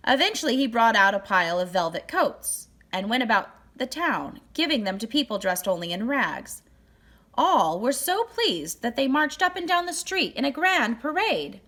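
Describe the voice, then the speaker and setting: A woman reading a children's picture book aloud as narrator, with deliberate, expressive phrasing. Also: a little high. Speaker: storybook reader.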